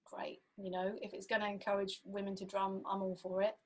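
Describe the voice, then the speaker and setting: A woman's voice: medium pitch, little pitch movement, a little fast. A woman drummer chatting casually on a podcast, thinking aloud with many fillers.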